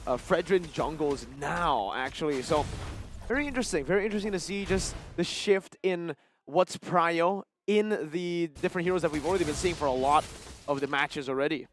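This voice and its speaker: High-ish male esports play-by-play voice. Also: moderate pace, faint music behind. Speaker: esports shoutcaster. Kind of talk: live play-by-play and analysis.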